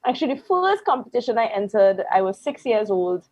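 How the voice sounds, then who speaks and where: A woman in her thirties from Trinidad and Tobago with a Trinidadian accent, telling her story in a relaxed interview chat with soft laughs and ums. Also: on the high side, fairly quick, no room sound. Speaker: guest musician.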